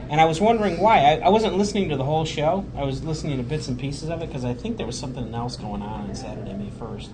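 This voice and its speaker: A man's voice: a little high, quick pace, hardly any pauses, noisy background. A man hosting a podcast, talking casually with fillers and then reading a prepared roundup. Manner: conversational, hesitant with fillers, reads a prepared roundup. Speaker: podcast host. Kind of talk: casual podcast chat and show recap.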